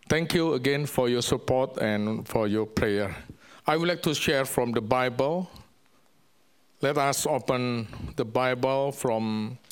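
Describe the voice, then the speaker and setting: A man with an Indonesian accent speaks to a congregation as a visiting preacher. His pace is very slow and his English is simple and halting, as a second language. The pitch sits in an ordinary male range. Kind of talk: church sermon and ministry update.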